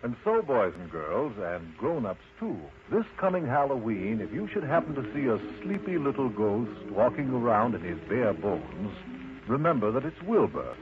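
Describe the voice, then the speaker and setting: A man narrating a children's story in an expressive, unhurried manner, addressing the listener directly. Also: mid-range voice. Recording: muffled lo-fi recording with loud noise.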